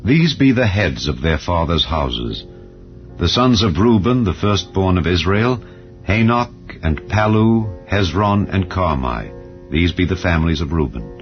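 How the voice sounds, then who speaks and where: A man narrating a dramatized scripture reading in a solemn, measured, declamatory manner. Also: deep voice. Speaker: Bible narrator. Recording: dull lo-fi audio over music. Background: calm background music.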